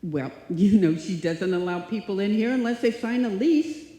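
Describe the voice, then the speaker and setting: A woman's voice: medium pitch, moderate pace, mellow, slight background noise, lightly acted. A woman playing a naive, timid and dim-witted roommate in a live old-time radio comedy. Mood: earnest, clueless. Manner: naive, earnest, hesitant. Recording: echoey hall, live stage performance.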